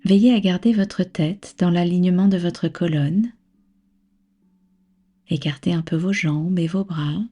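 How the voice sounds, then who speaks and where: A woman with a soft, breathy voice leading a guided relaxation as a yoga nidra instructor, soothing, unhurried and gently instructing. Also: on the low side, steady tone. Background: background music.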